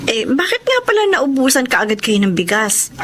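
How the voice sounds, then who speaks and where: Chatty consoling neighbour in a filipino radio drama, frank, highish voice that moves around a lot. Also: female voice, big pitch swings, normal speed, a bit bright, a little hiss or hum. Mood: frank, gossipy. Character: Mila, sympathetic, frank neighbour and young wife.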